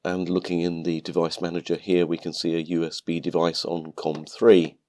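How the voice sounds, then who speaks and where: A man narrating a calm step-by-step tutorial, sounding nasal from a cold. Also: low voice, normal speed. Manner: calm, methodical explaining. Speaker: maker channel host.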